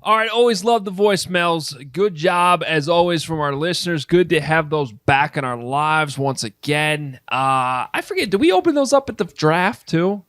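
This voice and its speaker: A man's voice: a little high, animated, moderate pace, hardly any pauses, a bit bright, no room sound, soft background music. Male podcast host, dry close sound. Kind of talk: casual sports chat.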